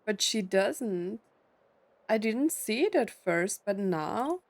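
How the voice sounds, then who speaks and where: A woman's voice: medium pitch, expressive, slow, no room sound. A woman gaming streamer giving casual let's play commentary, often wondering aloud in questions. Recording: faint game music behind.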